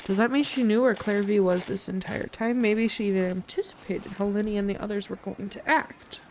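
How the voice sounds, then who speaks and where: A woman's voice: mid-range voice, fairly even intonation, fairly quick, a little mellow. A woman streamer giving live gameplay commentary, playful and irreverent, blurting out her reactions. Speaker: VTuber game streamer. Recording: faint noise in livestream audio.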